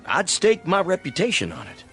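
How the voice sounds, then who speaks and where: An actor playing a flustered, self-important older country doctor in a radio drama, hesitant and repetitive, with a rural American accent. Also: male voice, high-pitched, wildly swooping pitch, moderate pace, bright, forceful tone, soft background music, exaggerated voice. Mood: defensive. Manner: hesitant, repeating himself.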